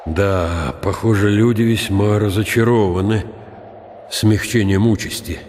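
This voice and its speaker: An actor playing a proud, ironic field marshal of eighty, musing slowly and deliberately in a radio drama. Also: male voice, lowish voice, steady tone, a little mellow, a little hiss or hum, close to an everyday voice. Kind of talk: radio drama inner monologue. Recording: long reverberant hall sound.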